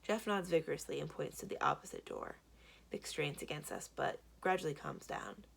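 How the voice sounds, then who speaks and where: Husky, animated woman reading aloud. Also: deep voice, moderate pace. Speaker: library read-aloud reader. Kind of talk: expressive children's book narration.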